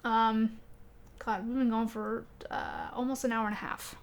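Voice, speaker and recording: A woman's voice: on the high side, measured pace, gravelly. A woman co-hosting a podcast, chatting casually about video games in an enthusiastic, rambling way. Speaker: podcast co-host.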